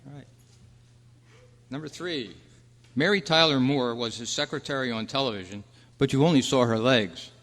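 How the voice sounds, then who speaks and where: Male quiz host, mid-pitched voice, reading questions aloud at a club meeting, heard with long hall reverb. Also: normal speed, long pauses, noisy background. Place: indoor meeting room at a convention.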